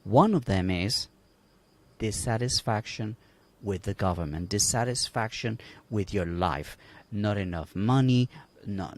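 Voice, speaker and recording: Emphatic Colombian man, lively pitch. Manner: emphatic, rhetorical questions. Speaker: panel guest. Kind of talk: livestream panel discussion.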